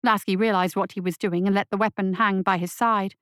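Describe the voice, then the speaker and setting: A woman reads dark fantasy fiction as an audiobook narrator. Her voice sits at a medium pitch, and the sound is dry and close, free of room echo. The delivery is scripted and dramatic. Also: fairly even intonation, fairly quick, clean tone. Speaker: story reader.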